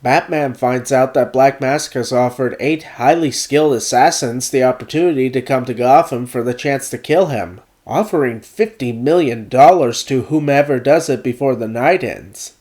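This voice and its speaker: A man recovering from a cold, hosting a video essay and narrating a scripted game review in a measured, deliberate way. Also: medium pitch, a little mellow.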